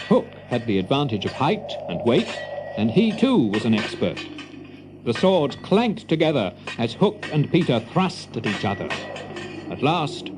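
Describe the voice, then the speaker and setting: A male storyteller with a lively, wide-ranging voice that sits a little high. He reads scripted storybook narration dramatically, with music clearly playing behind him.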